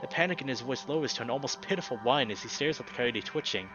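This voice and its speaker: A man's voice: medium pitch, quick pace, dull audio, music in the background. A young man reading a visual novel aloud as a dramatic story narration for a let's play. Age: young adult.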